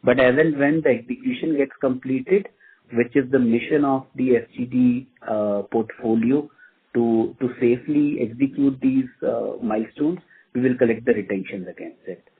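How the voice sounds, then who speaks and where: Husky Indian man on a phone line. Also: mid-range voice, moderate pace. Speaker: company finance executive on an earnings call. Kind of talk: answering investor questions on a conference call. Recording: muffled narrow phone-line audio.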